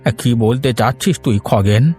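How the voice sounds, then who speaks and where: Old village fisherman, male actor, cartoon, grumbling and hard-pressed, mid-pitched, music bed. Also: measured pace, warm tone, natural voice. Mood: indignant. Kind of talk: Bengali moral-story cartoon dubbing.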